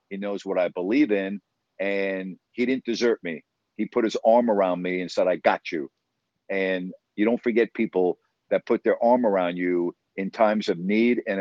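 A man's voice: sits low, a little mellow, dry recording. A man in his fifties from New York, a sports broadcaster speaking as an interview guest, earnest and emphatic, repeating words as he stresses his points. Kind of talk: conversational interview storytelling. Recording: dull, dry sound.

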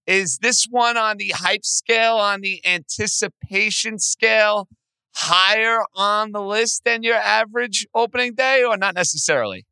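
A man talks sports as a podcast host in a booming, forceful, very bright voice. It sits high for a man, with emphatic, punchy phrasing. The sound is dry and close. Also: measured pace, creaky, clean tone, no room sound. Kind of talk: energetic sports commentary and interview.

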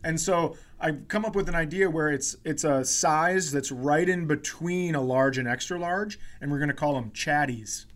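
A man's voice: on the high side, normal speed, hardly any pauses, fairly bright tone. A man co-hosting a comedy podcast, telling self-deprecating stories in casual, joking banter. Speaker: podcast co-host.

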